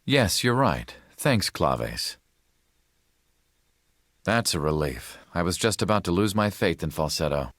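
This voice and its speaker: Game role: grave rebel leader, rock-bottom pitch, earnest measured delivery, dry studio sound. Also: male voice, very animated, fairly bright tone, no echo, full character voice. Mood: relieved. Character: grave male rebel leader, sincere and troubled. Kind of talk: video game cutscene acting.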